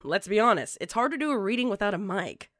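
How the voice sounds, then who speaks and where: Woman narrating a tutorial, lively intonation. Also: mid-range voice, fast, no echo, studio quality. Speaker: fanfiction narrator presenting tips.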